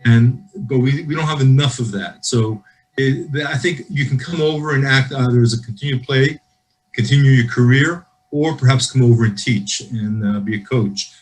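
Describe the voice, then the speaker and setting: A man talking over a video call about coaching needs, in an earnest, rambling way. His voice sits at a plain middle pitch, and he often restarts his sentences. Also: normal speed, soft background music, rough recording. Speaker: football league official.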